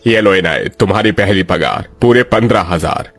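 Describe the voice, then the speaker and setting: A man playing kindly, fair middle-aged fathers and bosses in a moral story, speaking in a measured way. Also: sits low, animated, a bit bright, no echo, soft background music, full character voice. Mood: generous.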